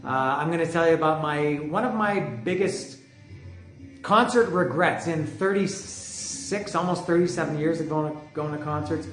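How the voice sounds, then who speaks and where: A middle-aged man hosting a music podcast from home, talking loudly in a casual, rambling way with many restarts. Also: on the high side, moderate pace. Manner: chatty, rambling, with frequent restarts; loud voice. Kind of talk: casual monologue. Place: home, street music outside. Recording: echoey room with music outside. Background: music from outside.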